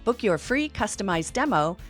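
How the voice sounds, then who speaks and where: A woman's voice: mid-range voice, very animated, moderate pace, faint music behind. A woman co-hosting a podcast in a conversational, advice-giving style. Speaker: podcast co-host.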